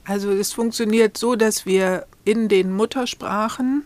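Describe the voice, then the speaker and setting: A woman's voice: mid-range voice, steady tone, measured pace, darkish tone. Fluent woman explaining a project. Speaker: guest, project leader. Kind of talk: interview answers.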